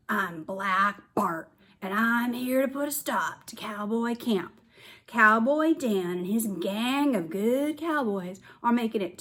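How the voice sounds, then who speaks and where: Gruff storybook cowboy bad guy, performed by a woman, slow menacing drawl, lower register. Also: a bit bright.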